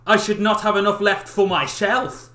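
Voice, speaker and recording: A man's voice: sits high, fairly even intonation, moderate pace, pushed and bright, pitched above the performer's own voice. A man voicing a selfish, clever eldest son from a fairy tale, haughty and emphatic. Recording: roomy echo of a small room.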